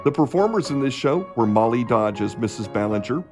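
A man acts as narrator and announcer for a radio play. His mid-pitched voice is very expressive as he reads scripted copy. Music plays clearly behind him. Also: fairly quick.

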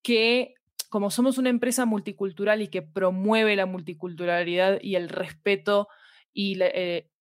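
A woman's voice: medium pitch, fairly even intonation, moderate pace, dry recording. A woman with a mid-range voice speaks as an interview guest about her work. She explains in a conversational way and adds small tag questions to check she is followed. The recording is dry, with very little room sound. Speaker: podcast fiction director being interviewed.

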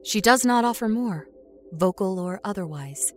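A woman acting a haunted, introspective captive with no memory, narrating a horror audio story in a measured, brooding way. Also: mid-range voice, expressive, natural voice. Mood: tense, brooding. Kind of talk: first-person scripted horror audio story. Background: music score under the voice.

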